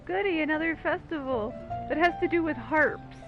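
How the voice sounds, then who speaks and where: A woman's voice: sits high, normal speed. A woman giving casual, joking commentary as she plays a video game, quippy and sarcastic. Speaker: game streamer commenting on play. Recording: dull mic with game music behind.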